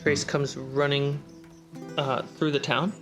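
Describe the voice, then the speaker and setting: A man with a high voice narrates a tabletop game as its game master. He speaks slowly and leaves space between phrases. Music plays clearly behind him. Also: flat delivery.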